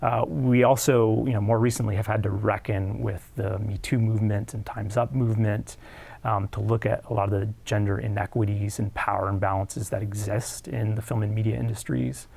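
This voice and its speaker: A man's voice: on the low side, steady tone, moderate pace, creaky, a little mellow, no room sound. A man, a film studies professor in his thirties, answering interview questions in a hesitant way with many fillers and restarts. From United States. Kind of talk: academic interview.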